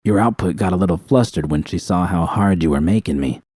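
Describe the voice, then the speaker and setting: A man's voice: low-pitched, fairly quick, a little mellow, slightly rough, no echo, clean studio sound. A man voicing a menacing male gangster in an audiobook, gloating and taunting as he drawls out threats. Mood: smug, commanding. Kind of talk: dramatic audiobook character reading.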